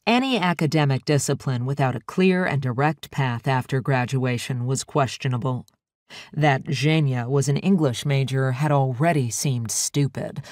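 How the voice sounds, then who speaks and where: A woman reading an audiobook in an even, measured storytelling manner. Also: very deep voice, dry recording. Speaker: audiobook narrator. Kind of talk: scripted narration. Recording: dry studio audiobook recording.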